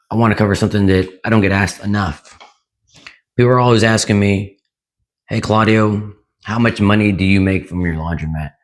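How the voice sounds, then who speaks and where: Hoarse man with a very deep voice. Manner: casual, frequent "right?" asides. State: hoarse, recovering lost voice. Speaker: laundromat owner and channel host. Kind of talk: casual money-saving vlog.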